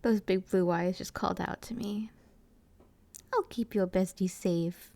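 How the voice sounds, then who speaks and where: Woman acting a slow, creaky, doting best friend. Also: medium pitch, dark tone, no echo. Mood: tender, doting. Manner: affectionate, teasing, rambling, slangy. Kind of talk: intimate audio roleplay, casual one-sided chat.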